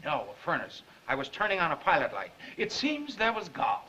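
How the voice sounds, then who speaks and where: A man's voice: a little high, wildly swooping pitch, fairly quick, bright, forceful tone, slight background noise, exaggerated voice. A man acting a cocky, wisecracking, easygoing newspaper reporter with a flippant, teasing manner in a 1930s film. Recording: dull lo-fi 1930s film soundtrack.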